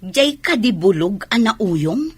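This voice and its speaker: A woman with an Ilocano accent playing a concerned, inquiring neighbour aunt in a radio comedy drama, her voice husky. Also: medium pitch, sing-song, takes it slowly, dry recording, full character voice. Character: concerned neighbour aunt questioning frightened children.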